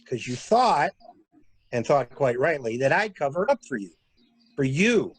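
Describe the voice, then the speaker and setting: A man acting a sharp, sardonic stranded traveler who probes insistently, in a dramatic mystery reading. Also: mid-range voice, very animated, unhurried, breathy edge, no echo. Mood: angry accusation. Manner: probing, insistent, theatrical. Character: stranded male traveler turned sharp, sardonic amateur interrogator.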